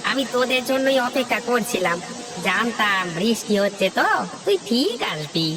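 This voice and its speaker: A man voicing a chatty, idle village friend in an animated fairy tale, folksy and exclamatory. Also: extremely high pitch, normal speed, music in the background.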